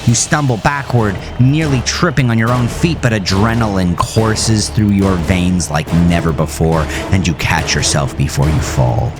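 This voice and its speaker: Deep voiced guy reading a horror story, really dramatic and intense, music playing behind him. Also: fairly even intonation, unhurried, few pauses. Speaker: author narrating his own horror story. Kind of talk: dramatic scripted horror narration. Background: music under the voice.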